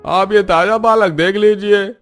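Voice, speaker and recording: A man's voice: sits high, fairly even intonation, a little slow, faint music behind. A man playing a frank vegetable cart seller in a cartoon story, plain-spoken and emphatic. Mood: matter-of-fact.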